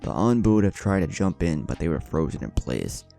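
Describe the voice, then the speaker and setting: A man's voice: low voice, very animated, brisk, creaky, dark tone, faint music behind. A man reading a scripted fan fiction story as its narrator, in audiobook style.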